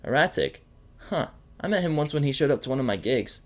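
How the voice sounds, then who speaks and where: A man's voice: medium pitch, big pitch swings, fairly quick, pitched above the performer's own voice, lightly acted. A man voicing a cool, brash female DJ pony, slangy and sarcastic, in an audio drama. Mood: curious. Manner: slangy, laid-back, sarcastic.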